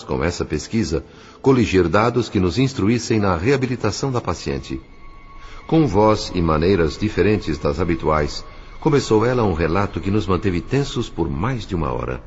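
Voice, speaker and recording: A man with a Brazilian accent playing a solemn spirit narrator in an audio drama, with formal, literary diction. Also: sits low. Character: solemn male spirit narrator recounting a case. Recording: slightly muffled, music underneath. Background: background music.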